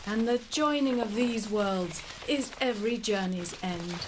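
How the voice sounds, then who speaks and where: A woman narrating a scripted story in a measured way, addressing the listener directly. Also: medium pitch, noisy background.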